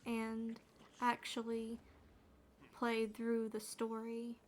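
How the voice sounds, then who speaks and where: A woman gaming streamer with a naturally quiet, soothing voice, chatting in a loose, rambling way while she plays. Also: fairly high pitch, monotone, extremely slow. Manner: rambling, with fillers and restarts. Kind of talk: soft-spoken gaming chat.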